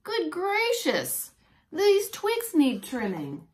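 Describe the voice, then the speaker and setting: A woman's voice: very high voice, wildly swooping pitch, very slow, voice pushed up, full character voice. A woman voicing a surprised, bemused old male painter from a children's storybook, in an exclamatory manner. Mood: fussy surprise.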